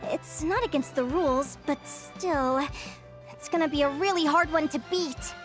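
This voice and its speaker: Spirited high-voiced young game heroine. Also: female voice, a little slow. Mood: surprised, explaining. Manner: spirited, expressive. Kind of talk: video game voice acting. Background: music.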